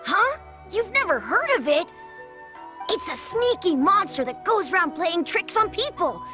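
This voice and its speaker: Stubborn little village child; very high, bright, animated. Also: female voice, unhurried, soft background music, heavily acted. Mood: insistent. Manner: insistent, childlike.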